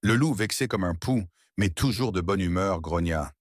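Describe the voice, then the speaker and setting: A man narrating a scripted children's story with expressive, playful storybook delivery. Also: sits low, moderate pace, dry recording, clean studio sound.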